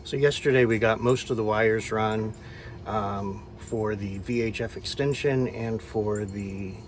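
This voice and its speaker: Guy w lowish voice doing casual diy vlog, explaining stuff plainly, pretty noisy background. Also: steady tone, a little slow. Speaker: boat DIY vlogger. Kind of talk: casual how-to narration to camera. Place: cramped interior of a boat in a yard.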